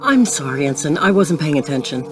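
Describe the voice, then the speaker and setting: A woman's voice: deep voice, sing-song, fairly quick, over music. A woman playing a confident, newly appointed starship captain in a science fiction audio drama, composed and authoritative. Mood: courteous, composed.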